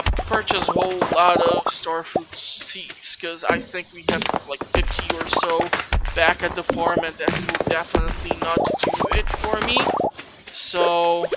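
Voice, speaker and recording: A person giving casual let's play commentary, chatty and rambling, with some mild swearing. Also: medium pitch. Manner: chatty, rambling, mildly profane. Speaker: gaming video host. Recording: loud game music behind the voice. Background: video game music.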